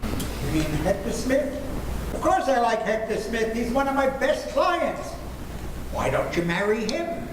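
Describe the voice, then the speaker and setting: A man plays a middle-aged father from a 1940s radio comedy, stern, dry and easily flustered. His voice is high and very lively, heard in an echoey room over clear noise. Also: a little slow, full character voice. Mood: stern, exasperated. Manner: emphatic, exasperated, dryly sarcastic. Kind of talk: 1940s radio comedy acting, staged reenactment. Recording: echoey, noisy filmed stage performance.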